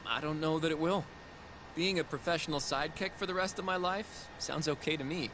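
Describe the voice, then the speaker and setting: A man's voice: on the high side, a little fast, noisy background, lightly acted. An actor playing an earnest, modest young man in a game, polite and a little hesitant. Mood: modest, easygoing. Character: earnest, modest young male sidekick in a law office.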